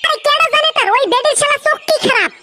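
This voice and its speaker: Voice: quick pace, very noisy. A performer voicing a cheeky, whining young lad in a comedy cartoon, defensive and crude, with a North Bengal accent. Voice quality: squeaky, artificially high voice.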